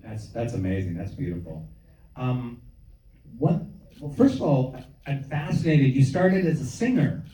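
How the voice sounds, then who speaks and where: A man moderating a live on-stage interview, asking questions with halting restarts. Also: mid-range voice, lively intonation, dark tone, reverberant, recorded from a distance, poor audio. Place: event hall.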